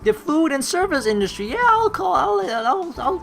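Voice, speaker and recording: Casting: a man's parody of brash call-centre runaround guy, pitched way up, wildly animated, comic skit over music. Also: moderate pace, vocal fry, full character voice. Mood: dismissive. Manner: pushy, repetitive, exaggerated. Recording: game music underneath.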